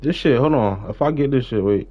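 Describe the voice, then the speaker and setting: A man's voice: mid-range voice, a little fast, muffled recording. A young adult man chatting casually in street slang as he streams live gameplay. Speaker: game streamer.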